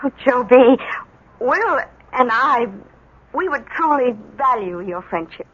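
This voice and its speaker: A trusting, excitable small-town housewife in an old radio play. Her voice sits very high, with a polite, breathless flutter. The sound is a little dull, like a vintage broadcast. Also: a little slow, husky, full character voice. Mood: moved. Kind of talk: 1980 radio drama acting.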